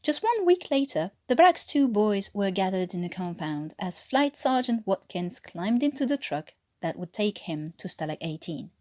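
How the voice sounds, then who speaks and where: A native French-speaking woman with a French-tinged English accent, reading a story aloud as an expressive narrator. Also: medium pitch, moderate pace, hardly any pauses. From France. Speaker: fan fiction author reading aloud.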